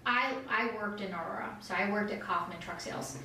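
A woman's voice: mid-range voice, fairly quick, gravelly, pushed and bright. A woman from Colombia chatting casually as a guest in an interview about her small business. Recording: very echoey room, distant mic, faint noise.

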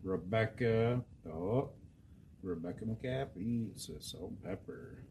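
A man with a deep voice hosts a loose, casual podcast. He reads out listener messages, swears freely and trips over names, with clear background noise around him. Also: slow pace, dark tone. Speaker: podcast host. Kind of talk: casual profane chat, reading out listener responses. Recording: noisy background.

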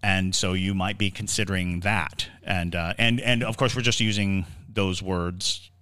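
A man's voice: low voice, steady tone, measured pace, bright, forceful tone. A man co-hosting a conversational paranormal podcast, explaining his ideas in a musing way and often repeating words as he gathers them. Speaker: podcast co-host.

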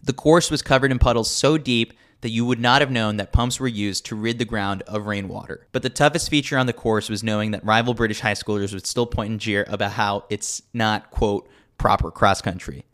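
A man's voice: lowish voice, fairly quick, few pauses, a bit bright. A man reading a scripted sports newsletter as a podcast host, with a wry, tongue-in-cheek manner.

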